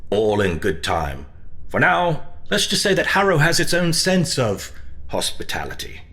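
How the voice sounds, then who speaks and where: A man's voice: on the high side, wildly swooping pitch, a little slow, pushed and bright, exaggerated voice. Man playing a grandly theatrical, swooping manor host. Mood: suave, teasing. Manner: grandiloquent, teasing, evasive. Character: suave, theatrical mysterious manor host and collector. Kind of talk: audio drama acting.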